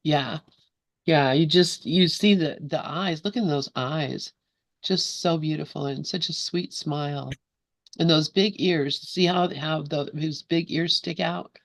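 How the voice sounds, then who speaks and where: A woman's voice: low-pitched, unhurried, dry recording. A woman leading a study group on a video call, reading a book aloud and chatting, laughing easily between passages.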